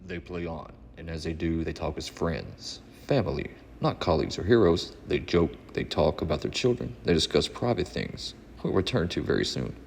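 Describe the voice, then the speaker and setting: Male comic book host and narrator, very low and creaky, reverberant room with faint noise. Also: lively intonation, moderate pace, few pauses. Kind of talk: casual vlog talk and dramatic comic read-aloud narration. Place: indoor room. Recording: echoey reverberant room, audible background noise.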